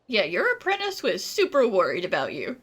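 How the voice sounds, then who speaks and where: A woman commenting casually on a game, with a husky but bright voice. She is offhand and joking, with very lively intonation. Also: medium pitch, brisk. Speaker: gaming streamer.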